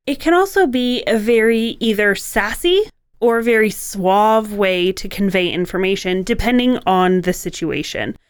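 A woman speaks in a smooth, clear voice as a podcast co-host. Her delivery is conversational and explanatory, and the sound is close and dry, with hardly any room in it. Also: medium pitch, expressive, unhurried, no echo. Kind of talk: conversational podcast discussion of writing craft.

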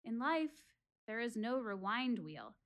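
High-voiced, wistful woman in a stage monologue, acted. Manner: deliberate, expressive, confiding. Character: reflective, wistful woman quizzing her audience about life. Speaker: actress in a one-woman show. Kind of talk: theatrical dramatic monologue addressed to an audience.

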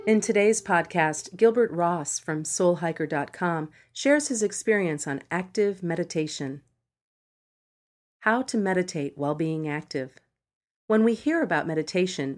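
Woman, slightly low voice, podcast narrator, scripted reading, measured and instructional delivery. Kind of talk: scripted narration of a meditation article.

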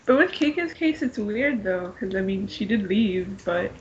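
A woman's voice: medium pitch, lively intonation, normal speed. A woman chatting casually as a panelist on a fan podcast, heard over a muffled lo-fi link with noise.

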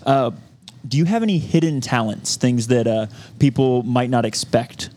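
Upbeat male podcast host, casual interviewer, mid-pitch voice. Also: moderate pace, mellow, slight background noise. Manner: upbeat, brief reactions. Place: brewery.

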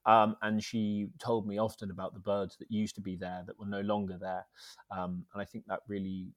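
A young man from Scotland, a journalist and author, talking as a guest in a relaxed interview, thoughtful and hesitant with many ums and restarts. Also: lowish voice, moderate pace, dry recording.

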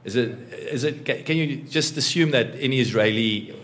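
A man's voice: mid-range voice, normal speed, fairly bright tone, roomy sound. A man hosting an interview, keen and chatty, who often repeats his first words as he starts a question. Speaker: podcast host, interviewer.

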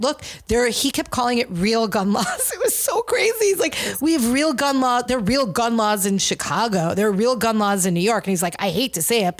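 A middle-aged woman hosting a podcast, talking in an animated, outspoken way and swearing freely. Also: on the high side. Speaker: podcast host. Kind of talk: casual conversation.

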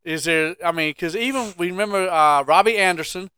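A man's voice: high voice, a little fast, bright, forceful tone, smooth, no echo. A man co-hosting casual sports banter, teasing and challenging with questions. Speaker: podcast co-host.

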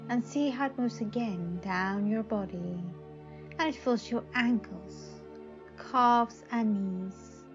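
A woman leading a guided meditation in a soothing manner, speaking in short phrases with long pauses. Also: medium pitch. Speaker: psychic advisor, meditation guide. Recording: slightly dull audio. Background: faint music.

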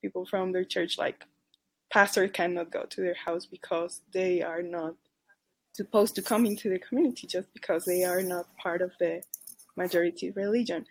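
A woman's voice: fairly low pitch, fairly even intonation, normal speed, darkish tone, poor audio. A woman with a Mexican accent, a podcast guest earnestly telling a case story and often stopping to correct herself. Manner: earnest, careful, with restarts and self-corrections. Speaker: charity advocacy worker.

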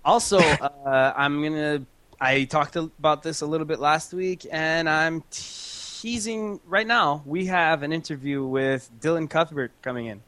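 A man's voice: a little high, unhurried, bright, forceful tone, dry recording. Joking, profane young man podcasting. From Canada. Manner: joking, profane, laughs often. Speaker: podcast host. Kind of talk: casual gaming podcast chat.

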